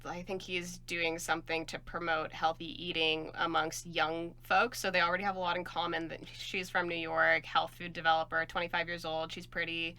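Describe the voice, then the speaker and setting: A woman's voice: fairly low pitch, little pitch movement, normal speed, hardly any pauses, bright, forceful tone. A woman co-hosting a podcast, chatting playfully and laughing easily. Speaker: podcast co-host. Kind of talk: casual chat.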